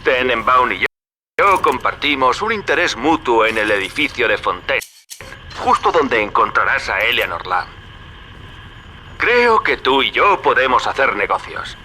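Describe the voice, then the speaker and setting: Game hustler: wry middle-aged dealmaker, very bright forceful voice, lively swoops. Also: male voice, medium pitch, measured pace, a little hiss or hum, full character voice. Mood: jaunty, wry. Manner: folksy, wry. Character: slick, jaunty middle-aged businessman ally. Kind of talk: dramatic video game dialogue.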